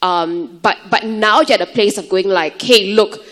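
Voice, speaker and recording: A woman's voice: medium pitch, a little slow, fairly bright tone. Earnest woman speaking at a forum. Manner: earnest, conversational, with many fillers. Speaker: youth leader working with refugee children. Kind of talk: panel forum talk. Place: conference hall.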